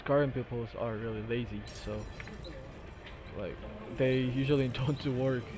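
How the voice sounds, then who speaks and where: A man's voice: mid-range voice, fairly even intonation. Korean-accented man narrating gameplay. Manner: halting, with fillers and restarts, laughs now and then. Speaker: gamer recording a tutorial. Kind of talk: casual gameplay commentary. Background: game music and sounds.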